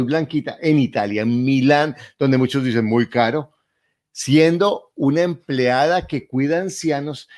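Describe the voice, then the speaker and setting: A man hosting a podcast interview, talking in an animated, emphatic way and acting out the voices he quotes. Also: a little high. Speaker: podcast host and real estate mentor. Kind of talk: lively interview chat.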